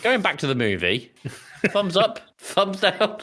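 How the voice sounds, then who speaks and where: A man's voice: high-pitched, sing-song, slow, pushed and bright. A man hosting a podcast, chatting casually and steering the conversation. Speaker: podcast host. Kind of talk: casual chat, moderating.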